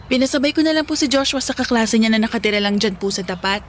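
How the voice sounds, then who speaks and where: High-voiced, polite young mother, acted by a woman. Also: fast, close to an everyday voice. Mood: cheerful, polite. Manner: polite, deferential, expressive. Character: young mother Sarah, respectful, friendly grateful niece. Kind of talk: Filipino radio drama acting.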